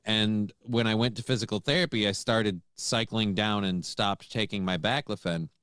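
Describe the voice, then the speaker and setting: Low-voiced frank man in his forties. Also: moderate pace, clean tone, no echo, clean studio sound. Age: 40s. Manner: frank, steady, repeats words as he restarts. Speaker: co-host. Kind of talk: casual chat.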